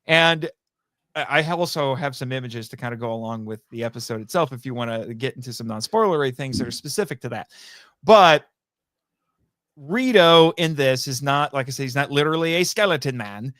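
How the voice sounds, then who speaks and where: A man's voice: medium pitch, animated, a little fast, fairly bright tone, no echo, clean studio sound. A man talking casually and enthusiastically as a podcast guest, chatty and often correcting himself as he explains. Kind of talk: casual enthusiastic interview talk.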